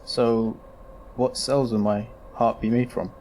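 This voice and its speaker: A man's voice: medium pitch, flat delivery, takes it slowly, a little mellow, natural voice. Casting: male actor as sickly, anxious patient, mid-range voice, doubtful and questioning, amateur audio drama, slightly noisy recording. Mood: uneasy questioning. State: coughing, ailing. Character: dying man anxious to prolong his life, wary and questioning.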